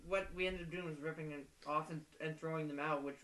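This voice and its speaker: A young man chatting casually on a podcast in an excitable, rambling way. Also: on the high side, fast talker, a crowd in the background, rough recording. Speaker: podcast host. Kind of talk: casual chat.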